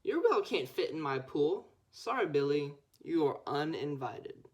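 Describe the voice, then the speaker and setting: A woman's voice: sits low, big pitch swings, unhurried, a little mellow. A young woman voicing a breezy, blunt popular schoolgirl in a picture book.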